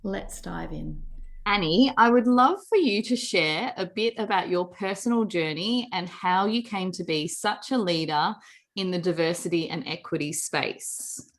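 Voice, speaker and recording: A woman's voice: fairly low pitch, a little slow. A woman hosting a podcast, reading a scripted introduction and then asking questions, with an upbeat and enthusiastic delivery. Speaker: podcast host.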